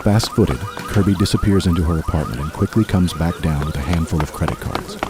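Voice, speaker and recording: A man acting as the narrator of an apocalyptic radio drama, speaking in a measured, dramatic way. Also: low voice, background noise, lightly acted. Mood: suspenseful. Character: omniscient male narrator of an apocalyptic tale. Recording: loud music under the voice.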